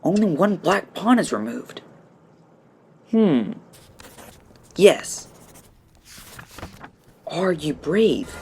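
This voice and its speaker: A woman playing a bright, modest young boy in an audio drama, polite and questioning, thinking aloud. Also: low-pitched, full character voice. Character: bright, modest, earnest orphan boy taking a test.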